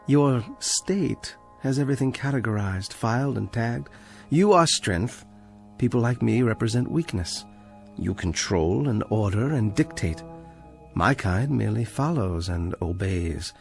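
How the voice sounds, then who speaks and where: A man's voice: mid-range voice, lively intonation, a little slow, vocal fry. Casting: condemned librarian in radio play, bookish, defiant, precise and ironic, mid-range male voice over faint music. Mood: bitter, defiant. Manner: precise, dry, pedantic, ironic. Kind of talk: radio drama acting.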